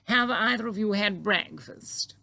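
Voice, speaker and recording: A man voicing a composed, dignified queen who speaks in a prim, measured way, read aloud from a storybook. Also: high-pitched, vocal fry, sharp tone, pitched above the performer's own voice, full character voice. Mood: regal.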